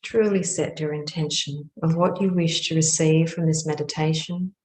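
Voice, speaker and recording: Female meditation guide, low and very even in tone, measured hushed phrases with pauses, roomy echoing recording.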